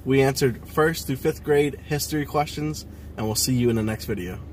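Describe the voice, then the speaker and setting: A man's voice: mid-range voice, fairly quick, darkish tone. A young man chatting casually as a quiz contestant at a table at home, recorded on a camera a short distance away. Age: young adult. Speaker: quiz contestant, vlog host. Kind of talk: casual chat. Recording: faintly noisy homemade video.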